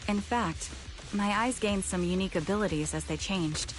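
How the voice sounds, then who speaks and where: A woman voicing a composed, polite female fighter with a formal, measured manner in a video game. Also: mid-range voice, natural voice. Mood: calm, earnest. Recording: loud music over game dialogue.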